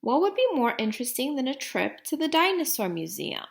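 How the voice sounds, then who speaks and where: A woman reading a children's storybook aloud like a teacher, deliberately, putting questions to young listeners. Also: sits high, very animated, creaky.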